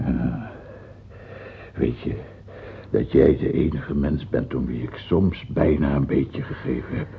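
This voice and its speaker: An actor in a Dutch radio play, playing an anxious, world-weary middle-aged lover with a gravelly, husky voice and a halting, brooding manner. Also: male voice, rumbling low, big pitch swings, full character voice. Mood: musing, halting. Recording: muffled tinny old radio play recording.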